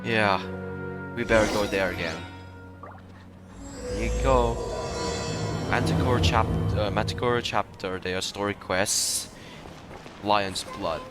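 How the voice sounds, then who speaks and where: A man's voice: on the low side, takes it slowly, long pauses. A man giving casual, rambling live commentary as he plays a game. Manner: rambling, often breaking into laughter. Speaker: streamer reacting to a game playthrough. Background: game music behind the voice.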